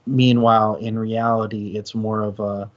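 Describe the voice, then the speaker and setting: A man co-hosting a casual discussion, thinking aloud haltingly and correcting himself as he goes. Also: on the low side, flat delivery, highs cut off. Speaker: co-host of a book discussion stream.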